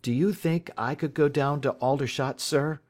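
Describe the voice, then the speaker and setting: A man's voice: a little high, steady tone, moderate pace, a little mellow, pitched above the performer's own voice, natural voice. A man doing a nervous, polite schoolboy. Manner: hesitant, polite, saying sir.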